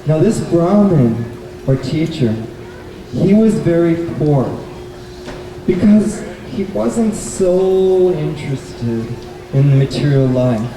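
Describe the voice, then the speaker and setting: A man's voice: on the high side, expressive, slow pace, warm tone. A man telling a story live to children at a puppet show, in short, simple explanatory phrases. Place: public event in a bookstore, mic at a distance. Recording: echoey, distant, noisy live event recording.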